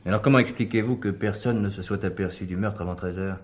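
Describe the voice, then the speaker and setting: A man's voice: lowish voice, fast talker, a little mellow, close to an everyday voice. A man playing a probing police commissioner with a dry, sardonic manner in a French play. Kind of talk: French radio play acting.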